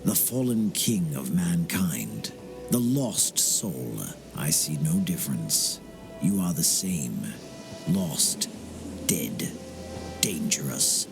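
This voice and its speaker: An actor playing an ancient, menacing fae seer in a fantasy game, theatrical and portentous with whispery turns. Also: male voice, sits low, very animated, slow, vocal fry, exaggerated voice. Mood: ominous. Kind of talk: fantasy RPG voice acting. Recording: game dialogue over loud music.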